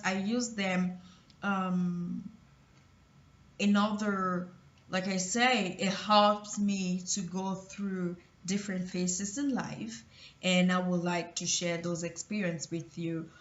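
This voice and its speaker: A woman from Cameroon with a Cameroonian French accent, giving a halting personal motivational talk full of restarts. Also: on the low side, level tone. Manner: halting, many restarts and fillers. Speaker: motivational vlogger. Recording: roomy, distant, dull lo-fi sound.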